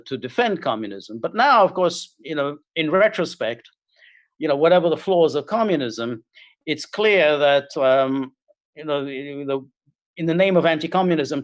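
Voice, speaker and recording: A middle-aged man from Hungary, talking as a guest on a video call and speaking haltingly with many fillers and restarts. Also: on the high side, dry recording. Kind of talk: casual interview talk.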